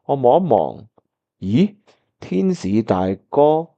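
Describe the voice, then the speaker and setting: An actor as a dark-toned, lovelorn young man. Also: medium pitch, big pitch swings, slow pace, lo-fi sound, a little hiss or hum. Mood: narrating, puzzled. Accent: Hong Kong Cantonese. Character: earnest, lovelorn young pianist man, easily startled. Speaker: voice actor, lead and narrator. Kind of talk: radio drama acting and first-person narration.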